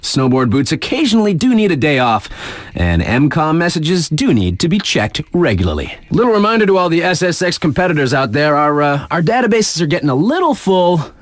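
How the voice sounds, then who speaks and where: An upbeat young video game radio DJ with a lightly raised pitch and a lively, swooping delivery. The patter is slangy and casual, hyped yet laid-back, in a close, dry sound. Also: male voice, brisk, dry recording. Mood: upbeat, informative.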